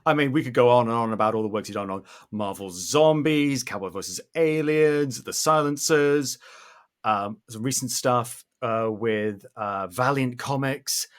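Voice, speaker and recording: A man's voice: mid-range voice, measured pace. A man hosting a casual interview podcast, self-deprecating and a little theatrical in how he talks.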